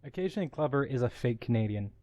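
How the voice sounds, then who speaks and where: A man's voice: mid-range voice, expressive, racing, darkish tone, no room sound. Joking man on a voice call. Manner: joking, teasing, laughs often. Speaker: co-host watching a film. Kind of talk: casual group commentary over a movie.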